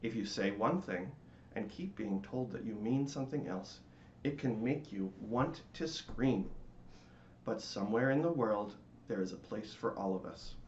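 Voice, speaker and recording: A man giving a dramatic, theatrical reading of a children's storybook in measured phrases. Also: fairly low pitch, roomy sound, dull audio, a little hiss or hum.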